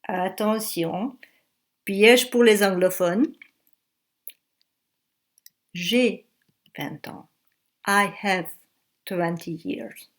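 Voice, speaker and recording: Slow, careful woman teaching French. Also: mid-range voice. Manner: slow, careful classroom delivery. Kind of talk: beginner language lesson.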